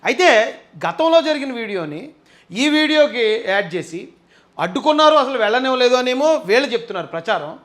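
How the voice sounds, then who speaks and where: A man's voice: extremely high pitch, big pitch swings, a little fast, fairly bright tone. A man giving impassioned live political commentary, emphatic and argumentative, putting rhetorical questions to viewers.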